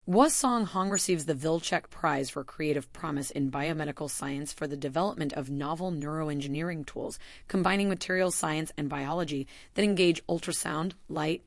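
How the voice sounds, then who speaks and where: A woman reading a scripted voice-over announcement in a measured, formal way, pausing between the items of a list. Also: low-pitched, gravelly, no echo, studio quality.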